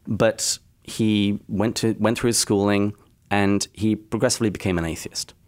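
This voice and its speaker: A man with an English accent and a very low voice talks through history in a measured, explanatory way. He sounds like a podcast co-host walking a friend through a story, unhurried and clear. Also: fairly even intonation, a little mellow. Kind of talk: casual podcast conversation.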